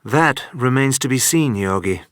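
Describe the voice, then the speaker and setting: Suave, deadpan male secret agent played by a man. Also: medium pitch, normal speed, dry recording, studio quality, natural voice. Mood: cool, wry. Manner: cool, deadpan quips. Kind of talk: video game voice acting.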